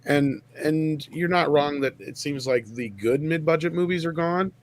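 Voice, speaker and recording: A man's voice: fairly high pitch, unhurried, a little hiss or hum. A man hosting a casual chat show, reading viewer questions aloud and swearing bluntly as he answers them. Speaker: podcast host reading super chats.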